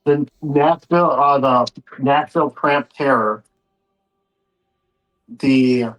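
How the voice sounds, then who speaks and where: A man's voice: mid-range voice, slow, fairly bright tone, faint music behind, poor audio. A man running a tabletop game as game master, talking casually with the players.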